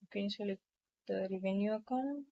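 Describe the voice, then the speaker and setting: A woman narrating a step-by-step software tutorial in an instructional, explanatory way. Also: mid-range voice, brisk. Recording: dull, lo-fi microphone sound.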